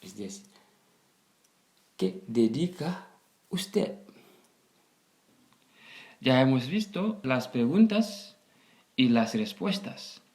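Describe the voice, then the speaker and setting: Slow-speaking man teaching, echoey room. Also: medium pitch, darkish tone. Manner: deliberate, repeats phrases. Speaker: language teacher. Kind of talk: language lesson, phrase by phrase with repetition. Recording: roomy, echoing sound.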